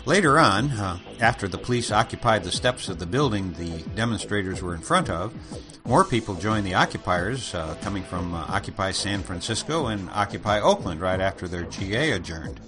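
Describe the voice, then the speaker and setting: A man's voice: fairly low pitch, lively intonation, normal speed. A man hosting a podcast, narrating thoughtfully with frequent "uh"s. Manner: thoughtful, with many fillers. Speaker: podcast host. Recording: music bed behind voice.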